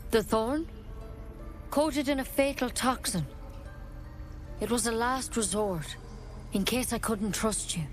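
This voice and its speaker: A woman's voice: fairly high pitch, slow pace, long pauses. An actress playing an earnest, protective druid healer in a video game, plain-spoken and grave, with music behind her. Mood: grave, frank. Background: background music.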